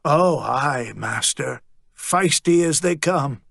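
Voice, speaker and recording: Man voicing a rough-spoken fawning watch sergeant, servile, wheedling, slightly high, dry close sound. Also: expressive, slow pace, fairly bright tone, dry recording, full character voice. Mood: leering. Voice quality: rough, coarse working-class speech.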